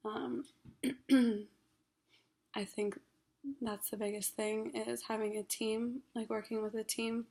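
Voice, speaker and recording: Hoarse young woman, flat halting delivery. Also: a little high. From Ohio, USA. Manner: halting, many ums, frequent throat clearing. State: hoarse, losing voice from a cold. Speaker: graduate counseling student. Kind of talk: class self-introduction video.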